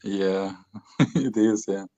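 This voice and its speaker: Hesitant caller from Austria, dull line. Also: male voice, low voice, extremely slow. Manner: hesitant, many fillers, soft-spoken. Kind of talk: casual call-in chat. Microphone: remote call audio. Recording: soft, dull call line.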